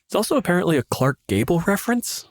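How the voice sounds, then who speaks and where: A man's voice: sits high, very animated, quick pace, dry recording, studio quality. A man co-hosting a podcast in casual chat, explaining things in a wry, sweary way. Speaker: podcast co-host and gamemaster.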